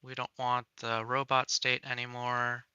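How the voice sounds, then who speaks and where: A man's voice: mid-range voice, completely flat delivery, a little slow, sharp tone, clean tone, no room sound, lo-fi sound. A man chatting casually as he works on a livestream demo, laid-back and half-muttering to himself. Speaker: game creator demonstrating on a livestream. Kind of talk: casual chat while working.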